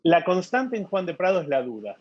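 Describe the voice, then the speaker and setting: Man, husky, hesitant interview answers, dry lo-fi sound. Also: sits high, sing-song, fairly quick. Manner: thoughtful, many hesitations and repeated words. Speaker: novelist being interviewed. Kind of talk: conversational interview.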